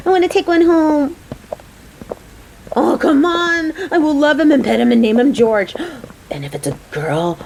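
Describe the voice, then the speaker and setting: A woman's voice: high voice, darkish tone, slight background noise, exaggerated voice, poor audio. A woman playing a caring, playful coworker on a date in an audio roleplay, talking to the listener in a motherly, teasing, chatty way. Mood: excited, playful.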